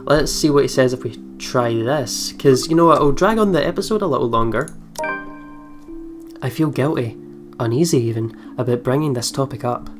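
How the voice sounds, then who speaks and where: Guy doing a lets play, reads the story out loud w playful comments, mid pitch, faint guitar music behind. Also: moderate pace. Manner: expressive, playful asides. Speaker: let's play host. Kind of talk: let's play commentary, reading game narration aloud. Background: soft game music with guitar.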